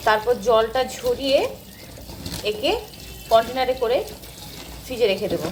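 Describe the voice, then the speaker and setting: A woman's voice: fairly high pitch, measured pace, noisy background. A woman narrating a casual daily household vlog as a homemaker, chatting to her viewers. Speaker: homemaker vlogger.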